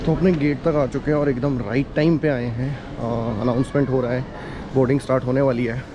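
A man's voice: medium pitch, fairly even intonation, brisk, muffled recording, over music. A young man narrating a casual travel vlog, chatty and upbeat as he walks and talks to a camera held at arm's length in a large airport terminal. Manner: chatty, upbeat, walking and talking to a handheld camera. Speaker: travel vlogger. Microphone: action camera held at arm's length. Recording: noisy terminal ambience.

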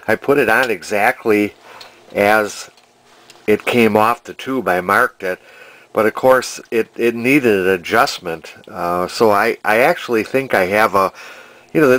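Guy filming his workshop repair vlog, lowish but bright voice, kinda hesitant and rambling. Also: low-quality recording. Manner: rambling, hesitant thinking aloud. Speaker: vintage television restorer. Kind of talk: casual repair vlog narration. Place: indoor home workshop.

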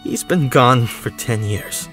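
A man's voice: mid-range voice, slow pace, bright, forceful tone, soft background music. A man acting an emotional, pleading young man in an amateur audio drama, desperate for his missing brother. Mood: grieving, desperate. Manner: emotional, pleading, sighing delivery.

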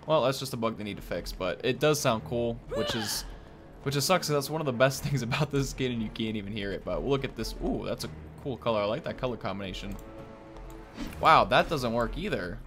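A man's voice: mid-range voice, expressive, brisk, over music. A young man giving casual video game commentary as a host. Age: young adult. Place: indoor room, at a desk. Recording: clear game noise behind. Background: video game ambience.

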